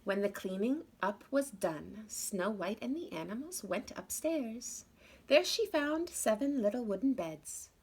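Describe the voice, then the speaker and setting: A woman's voice: mid-range voice, animated, a little slow, slightly rough. A woman reading a children's story aloud expressively, as if to young listeners. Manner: addresses young listeners with questions. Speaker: storybook reader.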